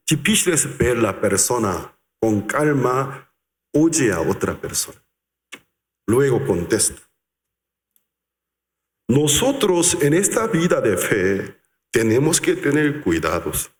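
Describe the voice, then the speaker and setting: Animated pastor in echoing hall. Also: male voice, medium pitch. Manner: animated, acts out quotes, slows for emphasis. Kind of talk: sermon. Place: large reverberant church hall. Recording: echoey church hall.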